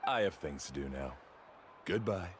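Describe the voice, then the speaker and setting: A man's voice: sits low, lively intonation, normal speed, heavy background noise. A man playing an arrogant, dismissive expert guide in a video game, with a blunt, condescending manner.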